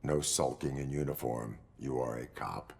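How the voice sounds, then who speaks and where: An actor playing a stoic cyborg police officer in a video game, speaking formally in terse, measured, deadpan lines. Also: male voice, very deep voice, gravelly, a little hiss or hum. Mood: flat, stern.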